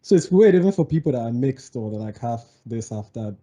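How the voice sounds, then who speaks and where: A man's voice: medium pitch, lively intonation, brisk, warm tone. A young man from Nigeria co-hosting a podcast, talking bluntly and at length in casual discussion. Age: young adult. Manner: blunt, explains at length with restarts. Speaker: podcast co-host.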